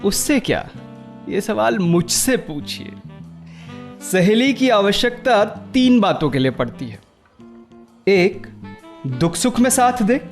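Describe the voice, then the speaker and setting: Breezy, slow-talking young suitor. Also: male voice, sits high, lively intonation, soft background music, full character voice. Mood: jaunty. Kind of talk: Hindi radio play acting.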